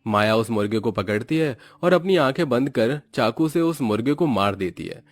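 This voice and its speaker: An Indian man narrates a cartoon story from a script. His voice sits at a medium pitch and the recording is very dry, with no room sound. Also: animated, moderate pace, dry recording.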